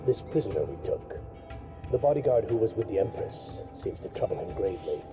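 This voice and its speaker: A man's voice: lowish voice, big pitch swings, brisk. An actor playing an uneasy, questioning assassin henchman in a video game, speaking formally. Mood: doubtful, impatient. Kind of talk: scripted game dialogue. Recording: echoey, distant, music behind.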